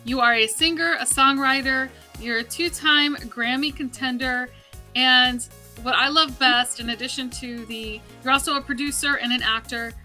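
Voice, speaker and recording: Woman, talk show interviewer, fairly high pitch, very bright tone, conversational. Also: fairly even intonation, moderate pace, soft background music.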